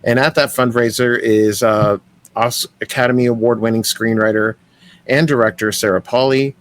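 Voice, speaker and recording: A man's voice: mid-range voice, fairly even intonation, normal speed. Eager rambling podcast co-host man. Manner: eager, restarts often, rambling introductions. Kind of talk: casual podcast chat. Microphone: remote call mic.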